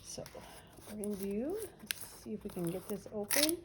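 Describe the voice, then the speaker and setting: A woman's voice: medium pitch, animated, moderate pace, heavy background noise. A woman with a nasal voice, casually narrating each step of a crafting demonstration. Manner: chatty, narrates each step while working.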